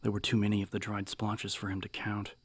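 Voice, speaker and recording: A man narrating dramatic fiction as an audiobook, with measured, suspenseful delivery. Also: fairly low pitch, monotone, slightly rough, no echo.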